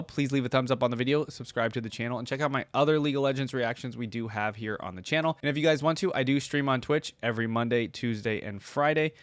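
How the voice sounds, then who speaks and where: A man's voice: medium pitch, dry recording. A man hosting a reaction video, talking casually to his viewers about what he has heard.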